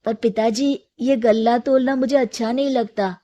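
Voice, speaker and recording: An earnest, restless young storybook lad, voiced by a woman. The voice is fairly high, with a soft, dark tone. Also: fairly even intonation, moderate pace, close to an everyday voice. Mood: earnest, pleading. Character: earnest, restless young man dreaming of easy money.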